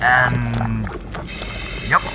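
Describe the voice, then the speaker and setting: A man's voice: medium pitch, monotone, extremely slow, heavy background noise. A man playing an obliging, matter-of-fact clean-up worker in a science fiction radio drama. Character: obliging, plain-spoken male futuristic clean-up worker.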